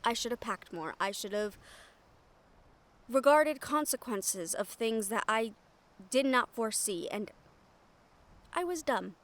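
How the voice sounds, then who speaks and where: A woman performs a female shadow thief in a fantasy audio drama, her voice pitched on the high side. She is wry and sardonic, frank about her nerves, and lets dramatic pauses and sighs hang between her phrases. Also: lively intonation, a bit bright. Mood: remorseful, grim. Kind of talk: fantasy audio roleplay addressed to listener.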